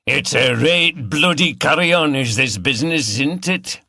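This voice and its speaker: A man's voice: a little high, animated, unhurried, creaky, bright, forceful tone, no echo, voice pushed up, heavily acted. A man voicing an earthy, blunt old village local in an audiobook. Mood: shocked. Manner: blunt, colloquial.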